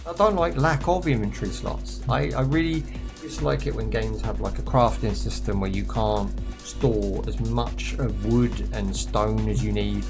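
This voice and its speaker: A man, chatting casually through a game for his viewers, slangy and rambling, with a voice he says he is losing. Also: mid-range voice. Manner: rambling, slangy, laughs often. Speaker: gaming streamer. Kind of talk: casual gameplay commentary to viewers. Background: music.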